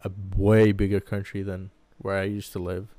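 Smooth, low-voiced young man chatting casually. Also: level tone, moderate pace, dark tone, no room sound. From Baghdad, Iraq. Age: 20s. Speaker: podcast co-host. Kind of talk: casual personal storytelling.

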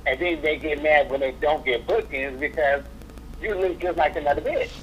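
A man's voice: on the high side, normal speed, slight background noise. A man, a drag performer, talking as a guest in a casual interview, sassy and blunt in his opinions. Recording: dull lo-fi recording.

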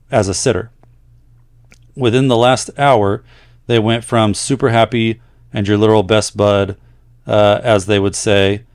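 A man with a fairly low voice co-hosts a casual podcast. He speaks conversationally with some hesitant pauses, whether reading letters or commenting as a nurse. The recording is dry, with little room sound. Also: smooth, no room sound.

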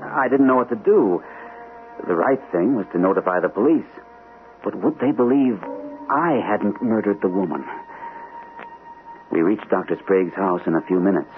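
An actor playing an anxious, hesitant army captain recovering from shell shock in an old-time radio drama. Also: male voice, on the low side, expressive, soft background music, lightly acted. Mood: troubled narration. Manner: hesitant, halting, nervous. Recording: muffled, narrow-band, noisy old radio recording.

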